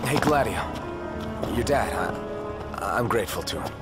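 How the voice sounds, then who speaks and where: An actor playing a moody, defiant young prince in a video game, speaking in a sullen, exasperated and terse way. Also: male voice, fairly low pitch, expressive, a little slow. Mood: subdued. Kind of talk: dramatic video game acting. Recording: very loud game music behind the voice.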